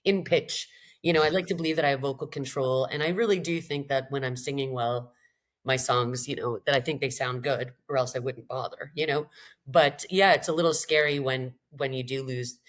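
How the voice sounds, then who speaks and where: Canadian woman singer chatting on a podcast, bit raspy, rambly, laughs at herself. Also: rumbling low, fairly even intonation, moderate pace. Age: middle-aged. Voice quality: slightly raspy. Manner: rambling, self-deprecating, frequent laughs and restarts. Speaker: singer-songwriter guest. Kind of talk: candid podcast conversation.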